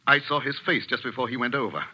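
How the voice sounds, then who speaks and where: A man's voice: mid-range voice, fast, sharp tone. An actor playing an authoritative, skeptical middle-aged clergyman and father, formal and commanding in 1940s radio style. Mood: shaken, urgent. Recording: muffled vintage radio recording.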